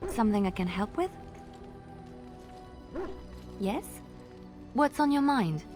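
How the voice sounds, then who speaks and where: A woman playing a guarded, wistful minstrel in a video game, with a gentle storytelling manner. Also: a little high, sing-song, moderate pace, faint music behind. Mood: friendly. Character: wistful, guarded young woman minstrel, former spy turned devout. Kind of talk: video game dialogue acting.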